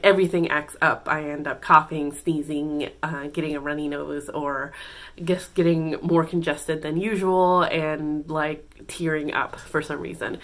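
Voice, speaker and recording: A woman with a slightly low voice talks through her book picks for the month in a loose, chatty way. She is still congested from a cold, so her voice sounds a bit stuffy. She fills pauses with ums and often stops to correct herself. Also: fairly bright tone. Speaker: book channel host. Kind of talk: casual book recommendations.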